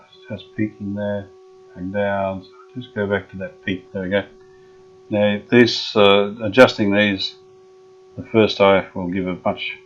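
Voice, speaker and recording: A man restoring an old radio, explaining each step in an unhurried way with many pauses and fillers. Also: fairly low pitch, highs cut off, slight background noise. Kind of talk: casual workshop demonstration.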